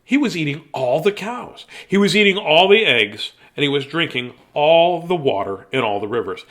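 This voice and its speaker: A man with a fairly high voice hosts a casual bedtime story reading. He is playful and silly, wandering off into self-deprecating asides as he goes. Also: lively intonation, normal speed, a bit bright. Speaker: children's story reader, host.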